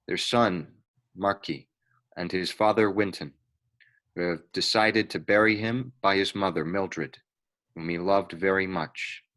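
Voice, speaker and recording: A man playing a solemn, measured reverend delivering a eulogy in an amateur play readthrough. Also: low voice, fairly bright tone. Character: solemn, smooth-talking reverend giving a eulogy.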